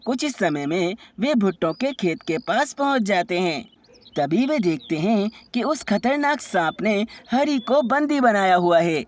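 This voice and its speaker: A woman narrator tells a scripted bedtime story for children. Her voice sits at a medium pitch, in a storytelling delivery. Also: animated, unhurried.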